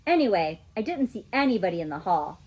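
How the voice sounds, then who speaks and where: A woman reads a children's story aloud as a teacher would. Her delivery is expressive, with animated pitch movement and a slightly husky voice. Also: brisk.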